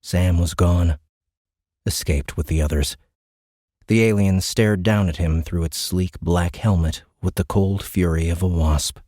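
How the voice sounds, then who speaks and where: Deep-voiced man narrating dramatic science fiction. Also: steady tone, dark tone, no echo, clean studio sound. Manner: measured, suspenseful storytelling. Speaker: audiobook narrator. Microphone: close studio microphone.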